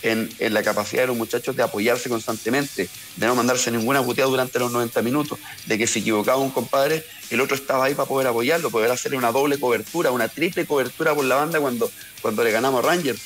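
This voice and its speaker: A man's voice: mid-range voice, fairly even intonation, fast talker, hardly any pauses, fairly bright tone, soft background music. A man in his forties with a Chilean accent, a football coach answering earnestly on a video call through earphones, often restarting his words. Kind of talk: remote interview answers. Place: bright room at home. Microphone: earphone headset on a video call.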